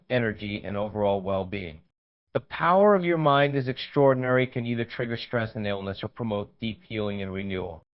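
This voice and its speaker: Dull-sounding man narrating guided meditation. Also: medium pitch.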